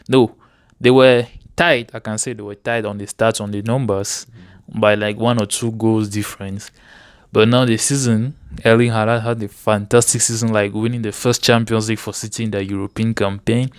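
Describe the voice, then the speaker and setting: Very clear-voiced man talking sport. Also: mid-range voice, dry recording, clean studio sound. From Cameroon. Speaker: interview guest, sports fan. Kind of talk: casual sports discussion.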